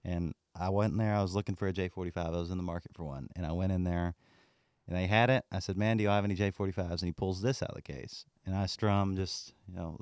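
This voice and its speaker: A man from Texas with a deep voice, chatting as a guest musician about his gear in a relaxed, easygoing way. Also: clear, resonant tone, dry recording, clean studio sound. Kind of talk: casual interview chat.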